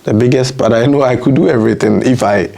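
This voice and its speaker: Man, interview guest, earnest and halting storyteller, mid-pitched. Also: creaky, warm tone, slight background noise. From Ghana. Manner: earnest, halting, drops in German terms.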